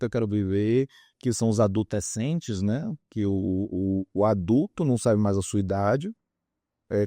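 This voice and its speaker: A man's voice: lowish voice, dark tone, no echo, studio quality. A man, explaining his opinions in an interview with examples and repeated words for emphasis. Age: 50s. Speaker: guest psychologist and author.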